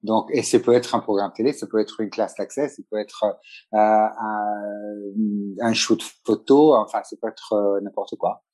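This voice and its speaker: A middle-aged man, a photographer talking as a guest in a relaxed interview, hesitating and restarting often. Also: lowish voice, a little slow. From Italy. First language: Italian. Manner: hesitant, many restarts and fillers. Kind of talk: casual conversational interview.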